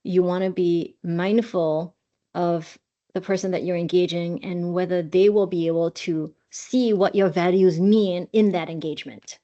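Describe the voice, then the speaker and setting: A woman from Singapore, a guest on an interview podcast, with a voice on the low side. She explains at length in a measured, earnest way. The audio sounds dull and lo-fi. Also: fairly even intonation, mellow. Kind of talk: interview answers.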